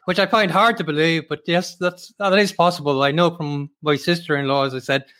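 A man hosting an interview over a video call, measured and formal with many hesitations. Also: a little high, steady tone, pushed and bright. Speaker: host.

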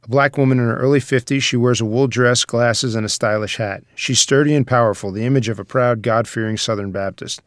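A man's voice: medium pitch, fairly even intonation, fairly quick, hardly any pauses, dry recording, studio quality. A man reading stage directions as scripted narration for a screenplay table read.

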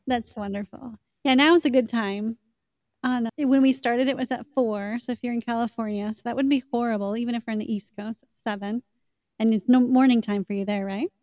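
A woman's voice: fairly high pitch, steady tone, a little fast, darkish tone, no echo, studio quality. A woman hosting a podcast, enthusiastic and encouraging as she thanks her guest and lists takeaways. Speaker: podcast host. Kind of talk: podcast chat and summary.

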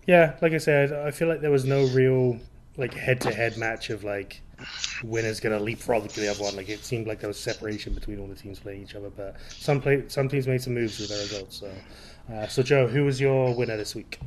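A man co-hosting a casual football podcast, relaxed and chatty, laughing easily as he talks through the week's games. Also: mid-range voice, fairly quick, a crowd in the background. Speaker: podcast co-host.

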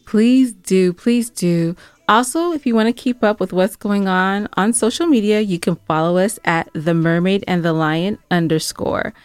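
A woman's voice: medium pitch, normal speed, hardly any pauses, a little mellow, clean tone, no room sound, soft background music. A woman co-hosting a podcast, chatting casually and giving announcements to listeners. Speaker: podcast co-host.

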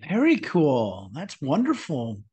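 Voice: medium pitch, wildly swooping pitch, slow, warm tone, slightly rough, dull audio. A podcast host interviewing guests, affirming their answers enthusiastically. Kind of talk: interview hosting.

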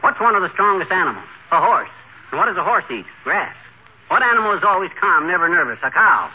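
An actor playing a boastful, lazy middle-aged husband who milks a sickness, with an excitable, blustery delivery in 1940s radio sitcom style. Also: male voice, high-pitched, fast, husky, narrow, tinny audio, heavily acted. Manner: blustery, excitable, show-offy. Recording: thin, hissy 1940s radio broadcast.

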